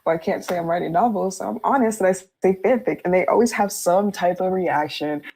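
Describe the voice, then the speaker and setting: A woman with a low-pitched voice chats on a podcast as a guest. She is candid and informal, talking in a conversational way and readily breaking into laughter. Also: fairly quick, vocal fry, mellow, slightly rough. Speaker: fan fiction writer, podcast guest.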